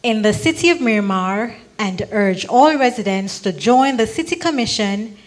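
A woman formally reading a ceremonial proclamation with measured, deliberate phrasing at a podium microphone. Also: fairly high pitch, expressive. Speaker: legislative aide reading a proclamation. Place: event hall stage at a podium.

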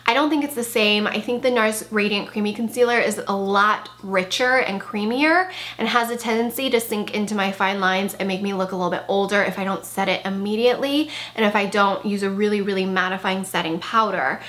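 A woman's voice: mid-range voice, fairly quick, few pauses, fairly bright tone. A woman in her thirties talks through a makeup review at her desk, eager and chatty, often leaning on "really" and "like." Her voice is slightly worn, as she is losing it. She is recorded on a camera mic in her bedroom. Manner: chatty, enthusiastic, with frequent "like" and "really". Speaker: beauty vlogger. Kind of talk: casual product review. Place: bedroom with clothing rack, indoors.

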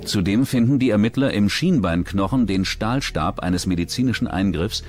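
A man narrating a true-crime documentary in a measured, serious scripted delivery. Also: on the low side, expressive, music in the background.

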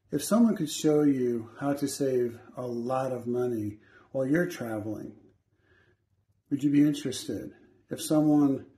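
Matter-of-fact man with a mellow voice. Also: medium pitch, slightly rough, no room sound. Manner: plain, matter-of-fact, unhurried. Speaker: travel vlogger. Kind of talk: casual campground tour narration. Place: moving vehicle. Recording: faint car noise behind.

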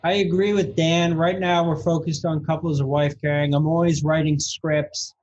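A man's voice: a little high, little pitch movement, moderate pace, darkish tone, dull audio. A man chatting as a podcast guest over a video call, enthusiastic and promotional. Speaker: film director, guest. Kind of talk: casual chat.

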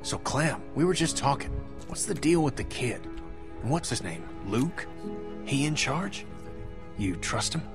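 An actor playing a stubborn, prickly middle-aged survivor in a dramatic video game, blunt, folksy and sarcastic. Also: male voice, mid-range voice, lively intonation, measured pace, pauses often, over music. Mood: wary, probing.

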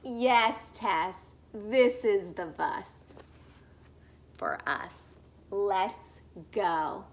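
A woman reading a picture book as a patient boy who corrects firmly, with deliberate emphasis. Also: mid-range voice, expressive, pitched below the performer's own voice. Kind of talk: children's picture book read-aloud.

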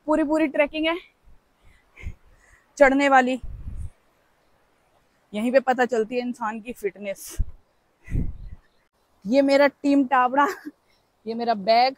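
A woman's voice: high-pitched, fairly even intonation, slow, pauses often, no room sound, poor audio. Chatty young woman vlogging a hike. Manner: chatty, playful, exclaims while hiking. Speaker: travel vlogger. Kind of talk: casual vlog walking commentary. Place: outdoors on a forest trail by a stream. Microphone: handheld phone camera.

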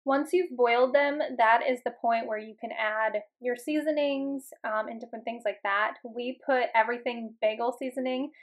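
A woman in her thirties talking casually over her home cooking, relaxed and chatty, with frequent ums and uhs. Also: a little high, normal speed, hardly any pauses.

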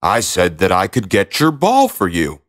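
A man voicing a polite but insistent warty frog in a storybook, croaking and speaking in a formal, measured way. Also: deep voice, wildly swooping pitch, fairly bright tone, pitched below the performer's own voice, exaggerated voice. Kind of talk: storybook character voice.

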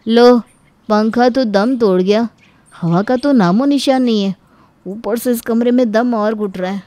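A woman voicing an easygoing young married man, the younger brother in an animated family story, speaking casually. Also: on the high side, measured pace, dry recording, natural voice. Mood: puzzled, exasperated.

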